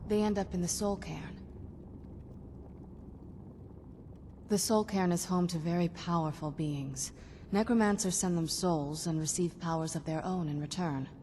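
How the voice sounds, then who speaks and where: A woman voicing a shrewd, composed young female vampire necromancer in a video game, speaking in a measured, dry, musing way. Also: lowish voice, dark tone, slight background noise, close to an everyday voice. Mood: intent, explanatory. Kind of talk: video game character dialogue.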